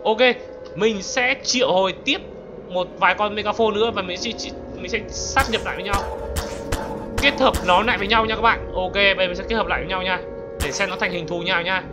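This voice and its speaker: A man's voice: high-pitched, brisk. A man giving casual, exclamatory gameplay commentary as a gaming YouTuber, throwing in English exclamations. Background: music.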